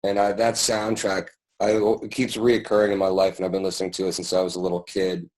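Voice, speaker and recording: Deep-voiced man answering unhurriedly. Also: level tone, fast talker, darkish tone, low-quality recording. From born in London, raised in Paris and New York. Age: 30s. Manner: hesitant and thoughtful, many fillers and restarts. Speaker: guest, music producer and DJ. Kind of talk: casual interview answers.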